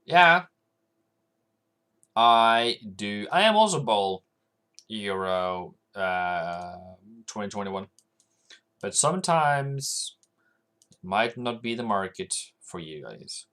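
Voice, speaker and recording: A man's voice: lowish voice, expressive, slow, pauses often, bright, forceful tone. A man from Norway chatting casually on a trading livestream, rambling and answering viewers' comments as they come in.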